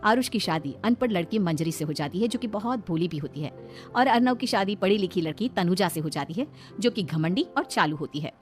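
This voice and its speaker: A woman's voice: fairly low pitch, expressive, a little fast. A woman reading scripted narration for a cartoon story, as a storyteller. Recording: faint music behind the voice. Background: background music.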